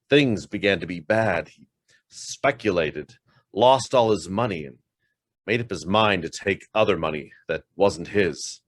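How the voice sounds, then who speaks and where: Male actor as anguished thief on the run in a radio play: low-pitched, self-lacerating, emphatic, very close dry sound. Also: dry recording, full character voice. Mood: bitter confession. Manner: dramatic, emphatic, halting confessional delivery. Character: guilt-ridden embezzler on the run, fervent, self-lacerating. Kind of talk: stage-style radio drama acting.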